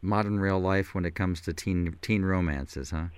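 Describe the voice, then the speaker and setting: Deep-voiced male radio host. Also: moderate pace, clear, resonant tone, no room sound. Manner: relaxed, with frequent fillers and restarts. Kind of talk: casual radio interview. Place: radio studio. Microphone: studio microphone.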